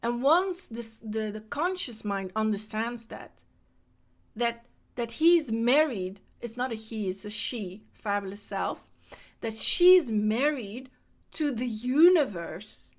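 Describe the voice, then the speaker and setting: High-voiced woman, animated monologue. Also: slow. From Netherlands. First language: Dutch. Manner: animated, repeats phrases for emphasis. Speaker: podcast host. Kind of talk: casual spiritual monologue.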